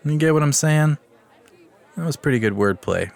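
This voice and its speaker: An actor playing a vain, swaggering beast slayer who talks in smug slang, in a fantasy comedy audio drama. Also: male voice, on the high side, lively intonation, normal speed, vocal fry. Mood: smug flirting.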